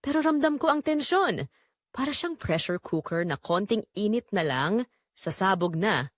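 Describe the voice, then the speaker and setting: A woman's voice: medium pitch, lively intonation, normal speed, dry recording, clean studio sound, close to an everyday voice. A woman playing a restless, defiant Filipino housewife, telling her secret in an emotive, confessional first-person drama narration. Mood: uneasy, intrigued.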